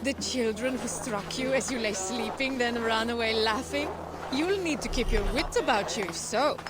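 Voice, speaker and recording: Devoted, ambitious female Viking weaver, acted by a woman, composed and pointed, fairly high voice, game drama over music. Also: normal speed, natural voice. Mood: teasing. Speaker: video game character. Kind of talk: dramatic game cutscene acting. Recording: music behind the voice.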